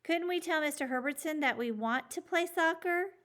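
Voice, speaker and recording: A woman's voice: high voice, normal speed, a bit bright, pitched above the performer's own voice. A woman voicing an eager, outspoken schoolboy in a children's storybook, speaking in an emphatic, insistent way. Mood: protesting. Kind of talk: children's storybook character reading.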